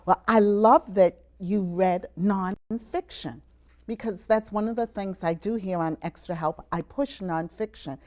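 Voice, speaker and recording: A woman's voice: mid-range voice, measured pace, a little mellow. A woman hosting a show with children, speaking in an emphatic, teacherly way and coaxing them with questions. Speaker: TV show host leading students. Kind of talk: talk to children before an audience. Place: college venue, taped live with audience.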